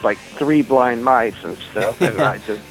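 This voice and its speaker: A man of middling pitch, originally from Oklahoma, answering interview questions about himself. He speaks softly and reflectively, stopping to think, over faint music. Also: poor audio. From Oklahoma, USA. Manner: thoughtful and soft-spoken, pausing to recall. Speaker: guitarist being interviewed. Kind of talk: casual interview chat.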